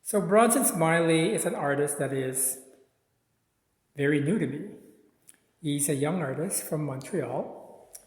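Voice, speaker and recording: A man's voice: a little high, measured pace, pauses often, slightly rough. Chatty man around sixty, raised voice. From Philippines. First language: Tagalog. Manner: chatty, discursive, with frequent um and uh. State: slightly stuffy from sinuses. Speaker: art channel host. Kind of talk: casual gallery walkthrough vlog. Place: city street outside, then indoors in a gallery.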